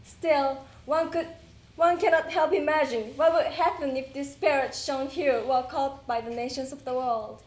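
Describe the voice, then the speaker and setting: A woman performing in readers theater, reciting a letter earnestly and deliberately, with an occasional stumble. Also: high voice, steady tone. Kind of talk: dramatic group recitation of a letter. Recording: roomy echo, faint background noise.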